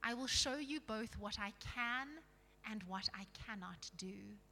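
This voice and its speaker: A woman voicing a kingly male lion in a storybook reading, solemn, gentle and calm. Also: on the high side, slow, big-room sound, full character voice, poor audio.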